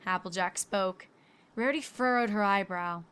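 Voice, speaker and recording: A woman's voice: mid-range voice, normal speed, a bit bright, smooth. A woman reading scripted story narration as the narrator.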